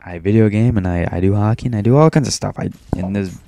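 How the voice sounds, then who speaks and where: A teenage boy from Maine rambling in a self-described monotone, talking casually over his gameplay with frequent restarts. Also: on the low side, fast, gravelly, slight background noise. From Maine, USA. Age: teens. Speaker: gaming commentator.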